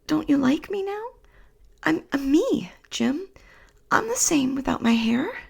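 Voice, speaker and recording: A woman voicing a loving young wife in a soft whisper, gentle and breathy. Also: high-pitched, very animated, unhurried, voice pushed up, full character voice. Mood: pleading.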